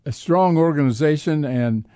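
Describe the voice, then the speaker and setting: Older American man, dark relaxed voice. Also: on the high side, normal speed, no echo. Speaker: sports radio co-host. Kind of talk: casual sports commentary. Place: radio studio.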